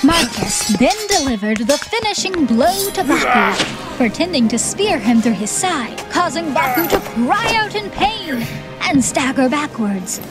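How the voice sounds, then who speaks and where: A woman's voice: high voice, sing-song, unhurried. A woman reading scripted, dramatic audiobook narration. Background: music.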